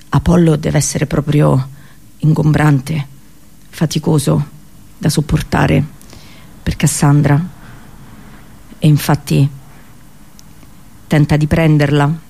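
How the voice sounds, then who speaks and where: A woman's voice: low-pitched, flat delivery, takes it slowly, creaky, long pauses, mellow, husky. A woman narrating theatrically, in a wry, conversational way. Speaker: narrator, actress.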